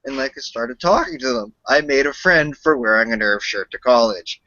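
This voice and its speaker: Bright young American podcast guy. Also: mid-range voice, lively intonation, normal speed, no room sound. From United States. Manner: hedging, full of "like". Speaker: podcast co-host. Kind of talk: casual chat. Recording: dry, dull lo-fi podcast audio.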